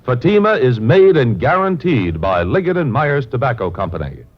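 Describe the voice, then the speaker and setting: A man's voice: mid-range voice, very animated, normal speed. An American man delivering a polished, persuasive 1950s radio commercial. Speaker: commercial announcer. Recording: muffled old radio recording.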